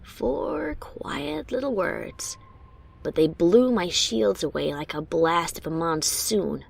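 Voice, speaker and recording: A woman's voice: fairly low pitch, lively intonation, slow pace, gravelly, husky, exaggerated voice. A woman playing a wry but secretly anxious adventurer pony who narrates her own story expressively, with bravado over nerves. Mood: shaken. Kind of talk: first-person dramatic story narration.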